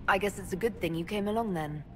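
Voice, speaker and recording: An actress playing a serious, probing female adventurer in a video game, measured and dryly skeptical. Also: medium pitch, fairly bright tone, a little hiss or hum, lightly acted. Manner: measured, questioning, dry. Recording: game sound with clear background ambience.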